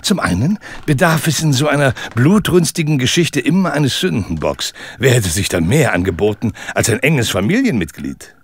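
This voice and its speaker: Casting: cold-blooded villain, husky and gravelly, gloating, widely swinging pitch, audio drama. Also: male voice, expressive, dry recording. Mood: smug confession. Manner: gloating, deliberate, theatrical. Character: smug, cold-blooded murderer confessing his crimes. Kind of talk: German audio drama acting.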